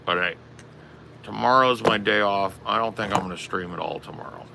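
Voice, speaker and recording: A man with a strained, raspy voice chatting casually on a stream, rambling and swearing a lot. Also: on the low side, normal speed, bright, forceful tone, a little hiss or hum, rough recording. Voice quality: gravelly. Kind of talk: casual chat.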